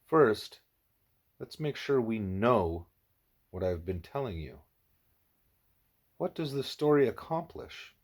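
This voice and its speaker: A man reads as a wise, patient teacher in a storybook dialogue. The voice is fairly low, deliberate and instructive, guiding a pupil along. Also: sing-song, full character voice. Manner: didactic, deliberate.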